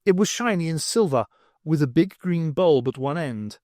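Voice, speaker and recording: Animated, higher-voiced man narrating a story. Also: normal speed, no room sound, clean studio sound. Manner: animated storytelling with acted dialogue. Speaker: audiobook narrator. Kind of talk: expressive scripted narration of a novel.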